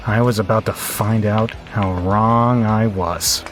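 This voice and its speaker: An actor playing a cynical biker who narrates an audio drama in a wry, bitter, self-mocking way. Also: male voice, fairly low pitch, steady tone, slow, fairly bright tone, faint music behind. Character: cynical, bitter biker man telling his story.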